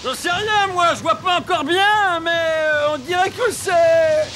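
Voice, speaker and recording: Brief: excitable ship's lookout, extremely high voice, cartoon calling, noisy surroundings. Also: male voice, a little slow, full character voice. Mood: puzzled, correcting. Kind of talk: French animated series dubbing. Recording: clear noise behind.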